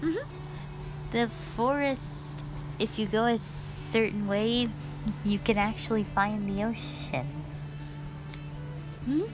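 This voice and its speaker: A woman voicing a sassy, cute, playful lamia in a roleplay audio, chatting bluntly and haltingly to the listener. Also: fairly high pitch, poor audio. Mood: friendly, excited. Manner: chatty, halting, blunt teasing with eager bursts. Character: sassy, cute female forest lamia, playful half-snake monster. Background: background music.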